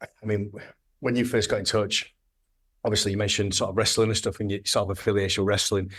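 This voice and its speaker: A man's voice: lowish voice, level tone, fast talker, a bit bright, breathy edge. A man in his thirties, a graphic designer, talking casually in a podcast interview, rambling and restarting his sentences. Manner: rambling, hesitant, with frequent restarts.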